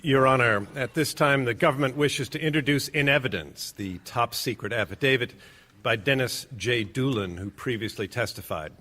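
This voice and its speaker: A man's voice: medium pitch, normal speed, pushed and bright. An actor playing a formal male government lawyer, speaking procedurally in court. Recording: live stage recording with long reverb.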